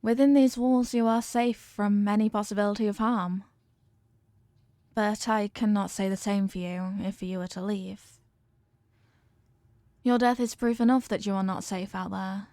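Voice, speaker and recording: A woman's voice: medium pitch, steady tone, mellow, no echo, lightly acted. Woman acting a possessive, regal evil queen. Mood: possessive reassurance. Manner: formal, measured, imperious, veiled menace. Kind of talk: scripted roleplay monologue, ASMR audio drama.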